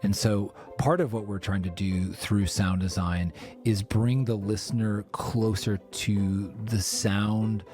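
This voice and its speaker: A man with a husky voice speaking conversationally in an interview as a sound designer. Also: on the low side, steady tone, takes it slowly, a little mellow, faint music behind.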